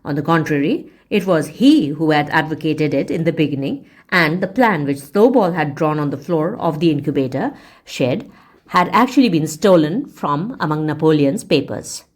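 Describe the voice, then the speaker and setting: A woman reading a story aloud as a teacher would, in an expressive, deliberate and emphatic read-along style. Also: low voice. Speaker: audiobook reader and English teacher.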